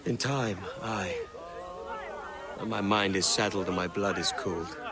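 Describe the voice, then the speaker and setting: An earnest pirate captain, acted by a man in a game cutscene. His voice sits fairly low, measured and sincere, with a clear bustle of people behind him. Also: over a noisy crowd, lightly acted. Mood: earnest, calm. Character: earnest, steady adult male pirate captain. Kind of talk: video game cutscene drama.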